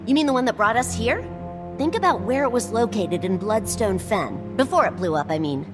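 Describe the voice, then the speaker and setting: Excitable woman playing an inquisitive explorer, very animated. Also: a little high, moderate pace, over music, natural voice. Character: eager, curious, impatient female researcher explorer. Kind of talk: scripted video game dialogue.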